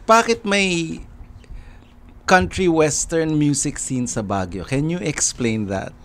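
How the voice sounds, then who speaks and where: A man's voice: a little high, takes it slowly. Filipino man hosting, switches Tagalog and English. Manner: mixes Tagalog and English, animated when excited. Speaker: podcast host. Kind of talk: casual chat. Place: studio room full of gear and shelves. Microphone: handheld mic on a stand, headphones.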